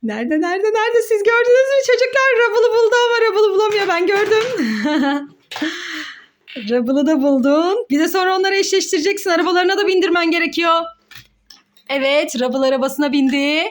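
Very high-pitched, excitable woman. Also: brisk. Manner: excited, encouraging, sing-song with repeated words. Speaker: mother hosting a children's play challenge video. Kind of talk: lively play-along commentary to child and viewers.

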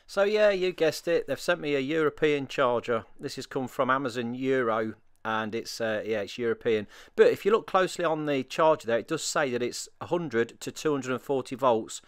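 A man's voice: medium pitch, expressive, moderate pace, few pauses, smooth, no room sound. Male, easygoing review voice-over, dead-dry close recording. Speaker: product reviewer. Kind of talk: voice-over narration.

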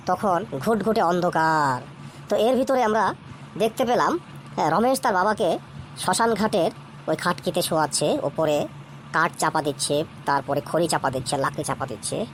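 A woman's voice: on the low side, a little hiss or hum, lightly acted. A woman voicing a jovial village uncle in a cartoon, telling a ghost story in a colloquial, suspenseful way.

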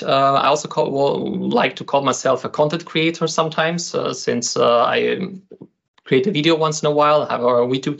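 A man's voice: medium pitch, vocal fry, a bit bright, muffled recording. A man in his thirties, from Albania, presenting a session on an online community call, hesitant with many fillers and repeated words. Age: 30s. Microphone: computer mic on a video call.

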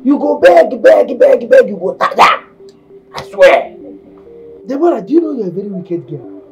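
Woman doing a nigerian houseboy type, lecherous and bossy, taunts and laughs, mid pitch, faint music in the background. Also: sing-song, fast talker, mellow, lightly acted. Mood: mocking. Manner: mocking, bullying, laughing. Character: scheming lecherous male house steward, bossy. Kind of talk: comedy skit acting.

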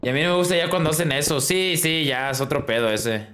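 Higher-voiced young Mexican man streaming. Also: animated, fast, a bit bright, slight background noise. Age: 20s. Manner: slangy, informal. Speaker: video game streamer. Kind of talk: casual livestream chat. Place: indoors in a small room at a desk.

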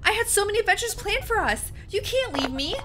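A woman's voice: high voice, animated, fairly quick, music in the background. A woman voicing a lonely male AI companion, sweetly welcoming and then pleading and desperate. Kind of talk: reading game dialogue aloud.

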